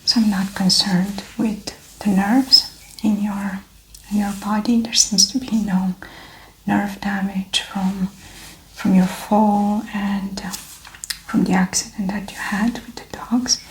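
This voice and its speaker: A woman speaking in a soft, breathy near-whisper, gently explaining a medical exam in an ASMR roleplay. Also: mid-range voice, flat delivery, slow pace, echoey room, poor audio. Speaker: ASMR performer playing a medical examiner.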